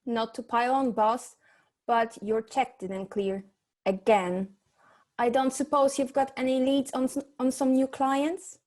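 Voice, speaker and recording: A woman's voice: high-pitched, fairly even intonation, a little slow, darkish tone. A woman playing a sassy, no-nonsense private eye's assistant in a humorous noir radio play, dry and unimpressed. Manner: dry, unimpressed, matter-of-fact.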